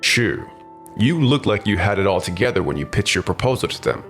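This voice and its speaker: A man's voice: lowish voice, expressive, a little fast, fairly bright tone, faint music behind. A man playing a stern company boss in a manga dub, reproachful and resigned. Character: stern male company boss scolding subordinates. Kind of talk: scripted manga dub acting.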